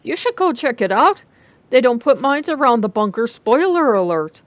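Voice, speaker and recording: A woman's voice: a little high, big pitch swings, moderate pace, no room sound, muffled recording, pitched above the performer's own voice. A woman voicing an awkward, evasive young college woman with a casual, hesitant manner in a story reading. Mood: dryly joking.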